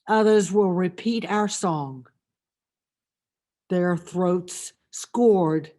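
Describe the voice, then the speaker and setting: Very slow, solemn grieving mother, read by a woman. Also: fairly low pitch, warm tone. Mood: consoling. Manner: solemn, measured, reverent. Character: grieving, tender mother addressing her suffering son. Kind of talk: poetic meditation reading.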